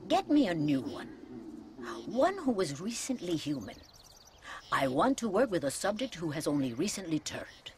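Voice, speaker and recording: An actress playing a dry-witted older mother superior, speaking in a measured, sardonic way in a video game cutscene. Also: on the low side, sing-song, a little hiss or hum. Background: game score music.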